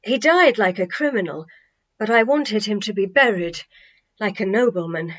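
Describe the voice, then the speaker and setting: A woman plays a gentle, earnest storybook man who speaks in a hushed, halting, tender way. The recording is a touch dull and lo-fi. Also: medium pitch, animated, close to an everyday voice.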